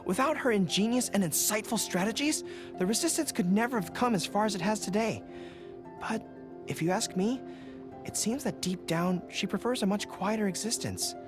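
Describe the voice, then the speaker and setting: A man's voice: high-pitched, expressive, moderate pace, over music. An actor playing an earnest, spirited young male army general in a video game, speaking sincerely. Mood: respectful, thoughtful. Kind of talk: video game character voice lines.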